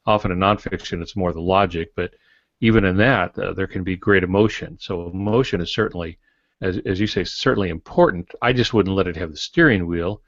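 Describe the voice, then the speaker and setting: Older man, low folksy voice. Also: a little fast, a bit bright, no room sound. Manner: folksy, given to homespun metaphors. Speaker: podcast co-host and writing mentor. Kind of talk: casual advisory podcast talk. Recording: dull, lo-fi, dry podcast audio.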